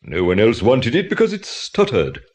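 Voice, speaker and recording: A man's voice: mid-range voice, wildly swooping pitch, moderate pace, darkish tone. An older man performing in a 1980s audio play as a courteous, theatrical actor who speaks expansively. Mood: earnest, explaining. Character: theatrical older male actor who has lost his parrot.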